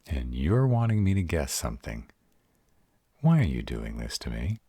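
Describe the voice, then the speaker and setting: A man's voice: rumbling low, big pitch swings, moderate pace, dark tone, slightly rough, dry recording, exaggerated voice. A man acting a sarcastic, baffled vampire in an audio roleplay drama, wary and exasperated, with a casual, sweary manner. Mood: uneasy, sarcastic.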